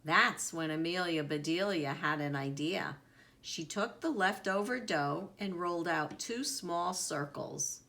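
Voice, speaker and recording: A woman reading a children's story aloud in an expressive, deliberate storytelling manner with dramatic emphasis. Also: fairly low pitch. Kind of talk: expressive read-aloud narration.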